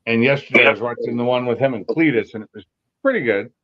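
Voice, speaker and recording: A man's voice: mid-range voice, fast, highs cut off. A man joining a car livestream as a guest, easygoing and loose, trailing off with fillers. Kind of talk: casual car chat.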